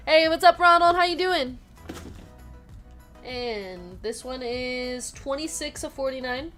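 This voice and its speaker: A woman's voice: high voice, slow, fairly bright tone. Chatty woman hosting a card break. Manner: chatty, laughs often, reads out players and numbers. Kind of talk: live card break, casual chat. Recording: music playing behind.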